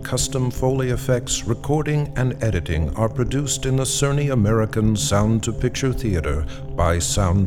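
A man announcing a radio drama program in a measured, formal delivery. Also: fairly low pitch. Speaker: host and announcer. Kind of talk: scripted announcing. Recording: music behind.

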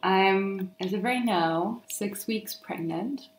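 A woman's voice: medium pitch, slow pace. A woman of twenty-nine in casual conversation at home, answering her partner in a matter-of-fact way. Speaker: partner being interviewed. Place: kitchen, at the table during a meal. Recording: roomy echoing home recording.

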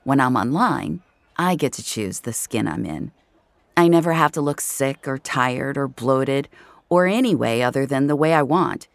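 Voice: mid-range voice, animated, moderate pace, vocal fry, no room sound. An actor playing an introspective young regular of a virtual-reality world, narrating an audio drama in an intimate, confiding way. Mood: reflective. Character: introspective young VR social-space regular named Jamie. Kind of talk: first-person scripted audio drama narration.

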